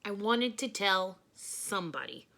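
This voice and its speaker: Bright-voiced woman reading a children's story aloud. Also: mid-range voice, a little slow, gravelly. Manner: expressive, emphatic storytelling.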